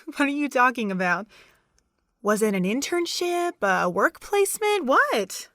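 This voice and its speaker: A woman's voice: high-pitched, very animated, a little slow, fairly bright tone, no room sound, full character voice. A young woman playing a chirpy, caring and nosy college student in an amateur audio drama. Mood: curious, upbeat.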